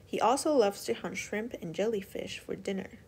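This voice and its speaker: A woman's voice: mid-range voice, normal speed, a little mellow, slight background noise. A woman storyteller reading a children's picture book aloud as scripted narration.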